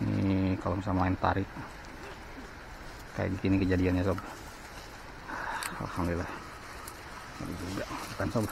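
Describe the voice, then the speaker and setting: A man vlogging while slingshot fishing on a riverbank, giving excited running commentary. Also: low voice, gravelly, background noise. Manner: excited exclamations and frequent thanks to God. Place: outdoors on a riverbank in hot sun. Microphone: head-mounted action camera.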